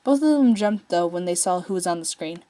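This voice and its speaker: A woman's voice: lowish voice, lively intonation, brisk, warm tone. Woman reading a story aloud expressively. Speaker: amateur fan fiction reader.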